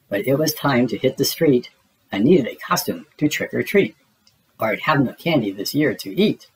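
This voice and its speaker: A man reading a spooky rhyming children's story aloud in a theatrical, deliberate way, as a storybook narrator. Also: medium pitch, husky, low-quality recording.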